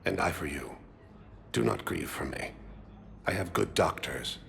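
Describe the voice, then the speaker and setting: Very deep, gravelly alien, calm and ailing. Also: male voice, slight background noise, exaggerated voice. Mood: solemn, resigned. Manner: measured, formal, without contractions. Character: ailing alien man, calm, solemn, resigned to dying. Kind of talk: video game voice acting.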